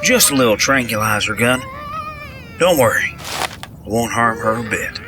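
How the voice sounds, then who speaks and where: An actor playing a kindly older country doctor with a folksy manner in an audio drama. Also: male voice, medium pitch, animated, measured pace, loud music behind the voice, lightly acted. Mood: cheerful. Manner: folksy, drawling endearments.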